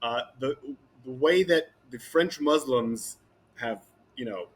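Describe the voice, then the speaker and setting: A man giving a guest interview, talking in a halting way with many restarts and repeated words. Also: mid-range voice, husky. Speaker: guest commentator. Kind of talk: interview conversation.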